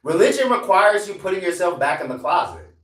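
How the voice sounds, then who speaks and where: A man's voice: high voice, expressive, fairly quick, fairly bright tone, far from the mic. A man in his twenties hosting a live stream in a casual, profane rant that repeats lines for emphasis. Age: 20s. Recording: echoey room, away from mic.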